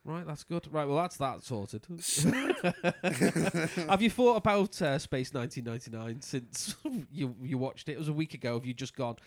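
A man's voice: a little high, expressive, a little slow, no echo, studio quality. A man with a nasal voice from polyps, co-hosting a casual podcast chat in a laid-back, easygoing way. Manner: laid-back, chatty, laughs easily. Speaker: podcast co-host.

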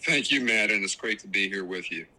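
A male historian with a fairly low but bright, forceful voice tells stories in conversation. He is folksy and anecdotal, meandering from one detail to the next. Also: fairly quick, poor audio. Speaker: historian, interview guest.

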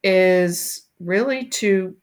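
A woman's voice: medium pitch, drawn-out words. An older woman being interviewed, speaking reflectively about her work with many fillers and restarts. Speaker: guest, education researcher. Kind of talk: conversational interview.

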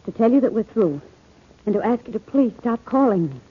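A woman's voice: on the high side, lively intonation, a little fast, husky, narrow, tinny audio. An actress playing an anxious young woman at odds with a menacing ex, in 1940s radio drama style. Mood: firm, agitated. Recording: old noisy band-limited radio recording.

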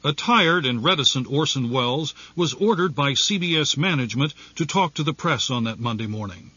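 A man's voice: medium pitch, lively intonation, fairly quick, sharp tone, breathy edge, no room sound, highs cut off. A man reading scripted documentary narration.

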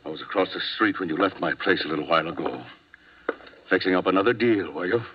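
An actor playing a furious, threatening armed husband in a 1950s radio crime drama, clipped. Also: male voice, low voice, fast, natural voice. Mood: menacing. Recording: noisy, dull 1950s radio recording.

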